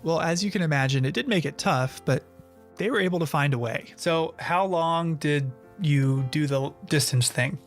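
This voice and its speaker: Male narrator-interviewer, slightly high pitch, storytelling over light guitar. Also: moderate pace. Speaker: podcast host and interviewer. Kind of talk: scripted narration and interview questions. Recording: guitar music behind.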